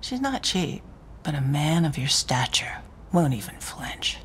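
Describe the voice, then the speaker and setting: An actress playing a seductive middle-aged crime fixer in a video game, breathy, with a purring, teasing delivery. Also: female voice, low voice, sing-song, measured pace, whispered. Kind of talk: cinematic video game acting.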